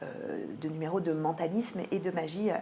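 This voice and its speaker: A woman answering in an interview as a theatre programming manager, often pausing mid-sentence as she presents a programme. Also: low voice, fairly even intonation, dark tone, a little hiss or hum. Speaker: theatre cultural programming manager, radio guest.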